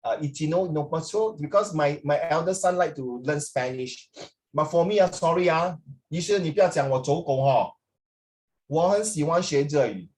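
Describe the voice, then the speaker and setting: Man, middle-aged, Singaporean accent, higher-than-average voice, informal teacher talk, code-switching, chatty tag questions. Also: expressive, normal speed, darkish tone. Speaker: language teacher. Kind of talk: informal classroom talk with students.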